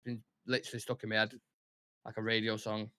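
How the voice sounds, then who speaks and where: A man's voice: lowish voice, monotone, quick pace, pushed and bright, clear, resonant tone. A young man with a Mancunian accent hosting a live football watch-along, chatting and commentating casually in a blunt, sweary, opinionated way.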